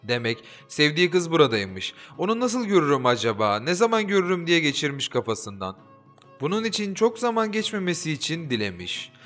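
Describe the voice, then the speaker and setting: A man reading a folk tale aloud as an audiobook narrator, expressive and lively, giving the characters their own voices. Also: fairly high pitch. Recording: soft background music.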